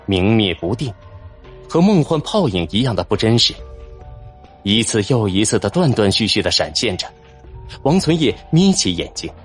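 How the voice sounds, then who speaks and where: A man narrating a fantasy novel in a dramatic, scripted audiobook style. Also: on the low side. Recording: background music under narration.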